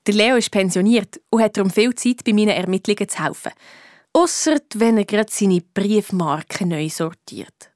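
A woman's voice: medium pitch, lively intonation, moderate pace, darkish tone, dry recording, clean studio sound, lightly acted. A woman with a Swiss accent playing a curious, cheeky young girl detective who narrates a children's radio play. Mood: chatty, eager.

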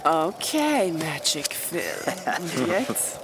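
Slow-talking, teasing young woman. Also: sits low, sing-song, background noise, heavily acted. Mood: playing along. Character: amused, teasing young woman among friends. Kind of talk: audio drama acting, casual banter.

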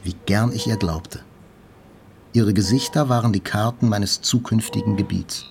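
Sober, lowish-voiced wounded narrator. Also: male voice, normal speed, creaky, a little mellow. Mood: introspective, sober. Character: sober, wounded male journalist recounting his hospital stay. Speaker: first-person narrator. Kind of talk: literary audio drama narration. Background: faint music.

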